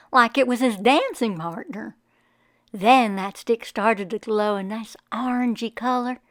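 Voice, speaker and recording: Woman reading as a slow, folksy storybook farmer. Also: fairly high pitch, a bit bright, no echo, studio quality. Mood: wonderstruck. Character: folksy, wonderstruck farmer recalling a magical childhood summer. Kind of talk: storybook first-person narration.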